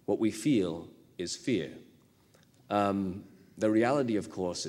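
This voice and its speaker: Deep-voiced man in an echoey hall. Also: darkish tone. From Pakistan. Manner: thoughtful, unhurried, speaking off the cuff. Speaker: award-winning novelist giving an acceptance speech. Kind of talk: acceptance speech. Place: large hall at an award ceremony.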